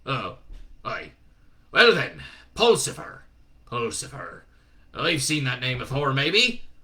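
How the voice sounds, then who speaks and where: Man doing a gruff old Witchfinder sergeant, shouting. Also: huge pitch swings, slow pace, full character voice. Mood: testy. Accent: wandering mix of British regional accents. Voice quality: rumbling, testy, barking.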